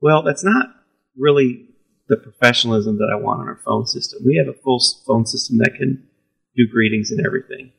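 Man, pastor, raspy, gravelly, conversational storytelling, self-deprecating. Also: mid-range voice, a little fast, low-quality recording. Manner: self-deprecating, laughs easily.

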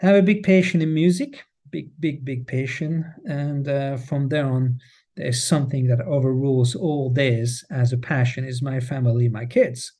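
A man's voice: mid-range voice, steady tone, moderate pace, warm tone. A middle-aged Swiss man with a French accent, speaking English as a guest in a podcast interview, often repeating words as he restarts and stressing what he cares about. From Switzerland. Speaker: guest, cheese marketing head. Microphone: remote, on the road.

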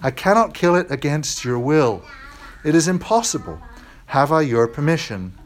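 A man voicing a calm angel who speaks slowly and gravely in a lowered voice. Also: lightly acted. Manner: slow, grave, measured. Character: calm, burning male angel.

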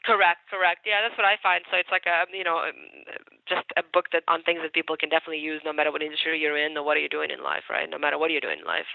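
Fast, upbeat Ukrainian-accented woman on phone. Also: deep voice, fairly even intonation, vocal fry, dry recording. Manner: upbeat, talkative, repeats herself for emphasis. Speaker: mortgage broker guest. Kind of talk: casual podcast interview. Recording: tinny phone line, dry.

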